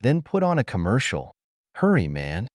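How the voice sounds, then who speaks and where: A pompous executive from an audiobook story, played by a man, blusters and panics easily. His pitch swings widely and he talks in excitable exclamations, recorded close and dry. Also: normal speed, no room sound. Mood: panicked, roaring.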